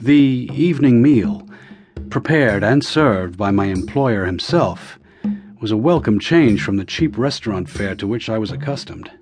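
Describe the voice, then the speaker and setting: An actor playing an educated, uneasy assistant in a radio drama, with formal, literate speech that turns hesitant. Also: male voice, medium pitch, expressive, unhurried, a little mellow, soft background music, lightly acted. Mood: brooding narration. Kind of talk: radio drama acting and first-person narration.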